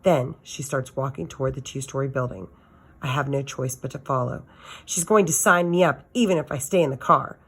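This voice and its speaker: A woman's voice: rumbling low, brisk, a bit bright, slightly rough. A woman reading a children's novel aloud as a teacher does for students, with expression.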